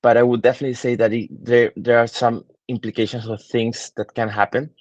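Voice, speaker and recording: A man's voice: mid-range voice, level tone, fairly quick, darkish tone, dry recording, dull audio. A man in his twenties from Peru, a digital marketing consultant answering interview questions thoughtfully, with many fillers and restarts. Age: 20s.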